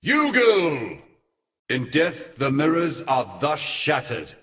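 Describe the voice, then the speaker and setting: An actor playing a wrathful god in a video game, slowly declaiming doom with a booming, theatrical voice. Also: male voice, huge pitch swings, a bit bright, full character voice. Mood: wrathful, commanding. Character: wrathful male god pronouncing doom on his foes. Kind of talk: dramatic video game battle voice lines. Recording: long reverberant hall echo.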